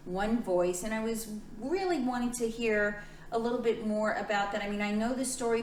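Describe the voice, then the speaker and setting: A woman hosting a casual interview, responding often with short affirmations. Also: a little high. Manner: warm, frequent affirming responses. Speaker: show host. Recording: roomy and distant, faint noise.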